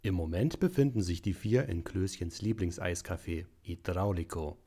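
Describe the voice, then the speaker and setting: A man narrating a parody audio play in a dry, deadpan storyteller style. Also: low-pitched, moderate pace.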